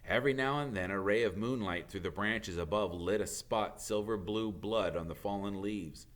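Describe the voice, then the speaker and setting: A man's voice: lowish voice, normal speed, fairly bright tone. A man reading a storybook aloud as a teacher would, in dramatic narration.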